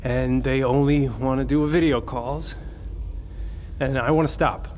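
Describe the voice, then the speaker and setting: An actor playing a downcast divorced father in an audio drama, sharing in a halting, subdued way. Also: medium pitch, fairly even intonation, a little mellow, slight background noise, natural voice.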